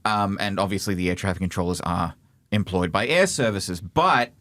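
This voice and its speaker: Man, low voice, podcast host, measured explanation, dry close mic. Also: a bit bright, no echo. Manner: relays reported quotes in measured, explanatory tone. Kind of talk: casual news discussion.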